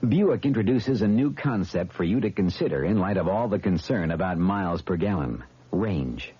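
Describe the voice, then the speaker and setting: A man's voice: sits low, lively intonation, moderate pace, dark tone, husky, faint music behind. A man reading a scripted 1970s radio commercial in a polished, explanatory way. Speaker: commercial announcer. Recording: dull vintage radio recording.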